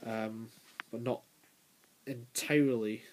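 A young man around nineteen giving a casual, unscripted review as a video creator, halting and pausing mid-phrase. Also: mid-range voice, steady tone, darkish tone, slight background noise, low-quality recording. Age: young adult, about 19. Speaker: YouTube toy reviewer. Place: small bedroom, indoors. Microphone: handheld camera's built-in mic.

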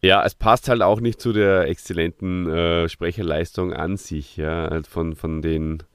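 A man with a low voice hosts a casual review podcast. His phrasing is halting as he thinks aloud, and the sound is close and dry. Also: fairly even intonation, clear, resonant tone, no room sound. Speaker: podcast host.

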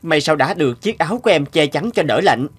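A man voicing a kindly, protective young month spirit in a cartoon, gentle and reassuring. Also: on the high side, expressive, a little fast, breathy edge. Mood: concerned. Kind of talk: cartoon voice acting. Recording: background music under the voice.

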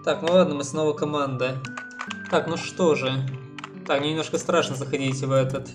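A man's voice: on the high side, steady tone, normal speed, over music. A man casually commenting while playing and dubbing a video game. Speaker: let's play host. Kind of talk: game playthrough with live dubbing.

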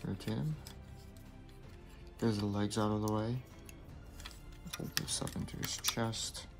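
A young man with a lowish voice talks through a build step by step, relaxed and casual. He slips in small asides and corrects himself as he goes. Clear music plays behind him in an indoor room. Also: takes it slowly. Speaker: toy builder reviewing his creation. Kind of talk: casual hands-on toy review narration. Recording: music playing in the background.

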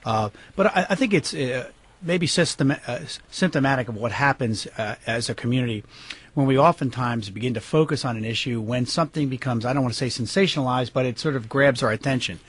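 An American man answering as a radio interview guest, halting and repeating words as he stresses his points. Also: medium pitch, slightly rough. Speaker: interview guest, county prosecutor.

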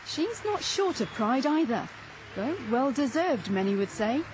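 A woman's voice: sits high, very animated, measured pace, a little mellow, a little hiss or hum, rough recording. A woman television reporter reading scripted narration. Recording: music under the voice. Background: classical music.

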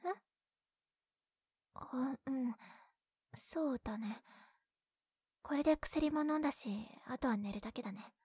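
A woman voicing a bashful, secretly caring tsundere young childhood friend in close-up ASMR roleplay, breathy and often whispering, scolding yet tender. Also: high voice, measured pace, long pauses, dark tone, no room sound, exaggerated voice. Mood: gentle, bashful. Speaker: voice actress in roleplay audio. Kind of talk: Japanese ASMR situation-voice roleplay. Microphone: close binaural microphone, dry.

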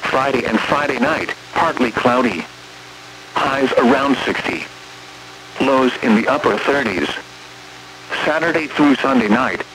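A man's voice: medium pitch, fairly bright tone. A synthetic American male voice reading a scripted weather bulletin in a formal, impersonal way as an automated radio announcer. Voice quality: synthetic text-to-speech voice. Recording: noisy weather radio broadcast reception.

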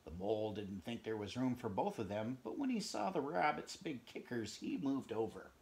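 A man's voice: medium pitch, vocal fry. Middle-aged man reading a picture book aloud. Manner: expressive, pausing to chat and point at pictures, drops to whispers. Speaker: read-aloud storyteller. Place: home room, indoors.

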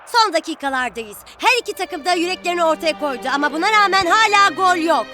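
A woman playing an enthusiastic football match commentator in a cartoon, with a brisk announcing delivery. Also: very high voice, lively intonation. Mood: excited. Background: loud music.

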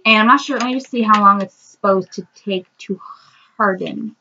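Bright-voiced teen girl on a webcam. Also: mid-range voice, moderate pace, vocal fry, muffled recording, slight background noise. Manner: chatty, explaining step by step. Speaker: toy reviewer, co-host. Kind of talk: casual toy review. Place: bedroom, indoors, seated on the floor. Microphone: built-in webcam mic.

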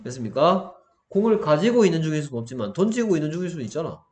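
A man's voice: fairly high pitch, big pitch swings, racing, a little mellow. A man teaching English grammar, explaining step by step and often asking questions to check understanding. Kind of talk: explanatory lesson with questions to students.

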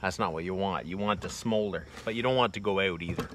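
A man's voice: sits low, fast talker, noisy background. A man in his forties giving a casual, plainspoken how-to demonstration outdoors, filmed on a phone held close, with lots of loose fillers. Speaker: homesteading vlogger. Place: outdoors in a wooded bee yard. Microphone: phone camera held close. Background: crickets and insects.

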